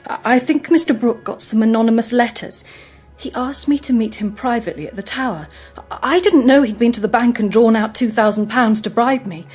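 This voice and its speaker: An actress playing a gentle, guarded young English woman in a radio drama, speaking in an earnest, hesitant, confiding way. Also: a little high, a little fast, breathy edge, soft background music. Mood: earnest, anxious.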